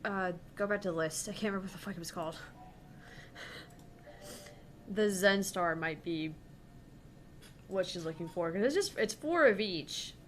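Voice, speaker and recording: A woman's voice: lowish voice, animated, normal speed, pauses often, slight background noise. A young woman hosting a visual novel let's play, reading dialogue aloud and chatting in a casual, joking way. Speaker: gaming channel host.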